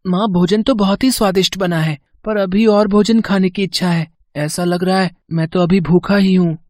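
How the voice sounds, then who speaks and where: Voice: mid-range voice, fairly even intonation, moderate pace, darkish tone, no room sound, natural voice. A performer voicing a small, politely insistent hungry boy, a god in disguise, in a storybook tale. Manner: polite, demanding. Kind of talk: acted storybook dialogue.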